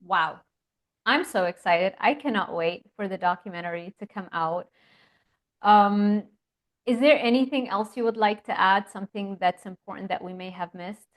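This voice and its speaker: A woman's voice: medium pitch, normal speed. A woman who grew up in Lebanon, hosting an interview and chatting in a friendly way that laughs easily. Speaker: podcast host. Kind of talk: casual interview conversation.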